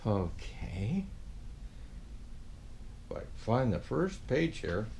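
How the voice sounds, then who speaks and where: A man's voice: mid-range voice, big pitch swings, extremely slow, vocal fry, a little mellow, slight background noise. A man in a Santa persona reading a children's picture book aloud, with playful asides to his young listeners.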